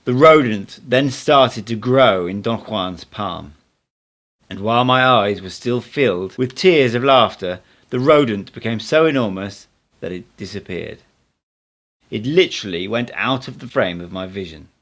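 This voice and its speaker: A man's voice: lowish voice, lively intonation, unhurried, fairly bright tone. A man reading a book aloud as scripted narration, as an amateur audiobook reader.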